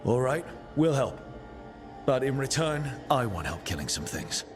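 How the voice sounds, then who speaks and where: Casting: young adventurer hero, mid-pitched, direct and businesslike, fantasy game, loud music bed. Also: male voice, very animated, measured pace. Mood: focused. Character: resolute young male adventurer leading a rescue. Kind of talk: cinematic fantasy game voice acting.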